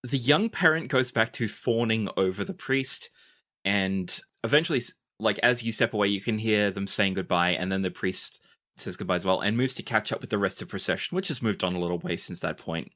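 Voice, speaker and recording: A man's voice: on the low side, brisk, fairly bright tone. A man speaking as game master, improvising narration for a tabletop game in a conversational, hesitant way.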